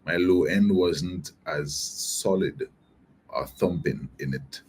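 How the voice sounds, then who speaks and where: A man with a very deep voice, a soca artist chatting casually as a guest, relaxed and slangy with halting restarts. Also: a little hiss or hum. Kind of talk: casual interview chat.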